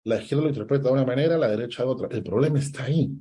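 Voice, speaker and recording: A man, a political analyst answering interview questions, deliberate and sometimes stopping to search for a word. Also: medium pitch, mellow, breathy edge. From Peru. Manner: deliberate, stops to search for words.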